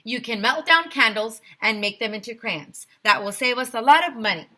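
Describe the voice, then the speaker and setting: A woman's voice: on the high side, expressive, normal speed, bright, forceful tone, voice pushed up. A woman voicing a bossy, penny-pinching male town mayor in a children's storybook, declaiming emphatically. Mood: bossy, dismissive. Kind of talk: children's storybook read-aloud.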